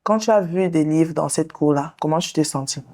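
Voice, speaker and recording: A woman therapist hosting the show, leading a guest through a slow guided visualization and counseling talk in a soothing, directive way. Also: deep voice, dark tone. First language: English. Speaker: sex therapist and show host.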